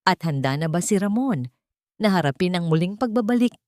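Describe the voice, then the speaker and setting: A Filipino woman narrating a scripted drama story in a deliberate, dramatic manner. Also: fairly low pitch, lively intonation, darkish tone, no room sound, clean studio sound.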